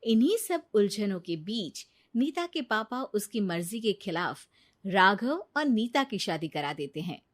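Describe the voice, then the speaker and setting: A woman's voice: mid-range voice, very animated, measured pace. A woman narrating a scripted moral story.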